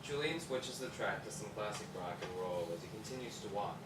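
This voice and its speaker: A man's voice: fairly low pitch, lively intonation, fairly quick. A man narrating scripted stage directions at a table read. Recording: echoey room, distant mic, loud noise.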